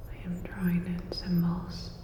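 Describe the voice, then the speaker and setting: Casting: woman as a polite sci-fi repair technician for ASMR roleplay, low-pitched whisper, unhurried and gently attentive, long reverb. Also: monotone, slight background noise, natural voice. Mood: soft, focused. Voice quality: soft breathy whisper. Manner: slow, halting, gently attentive.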